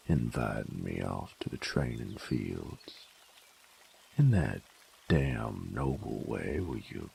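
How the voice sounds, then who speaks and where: A man playing a cocky, sadistic bandit in an audio roleplay, with a gruff, teasing drawl. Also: a little mellow, a little hiss or hum, exaggerated voice. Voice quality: gravelly, very deep drawl. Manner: drawling, teasing, folksy, crude. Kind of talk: intimate audio roleplay drama.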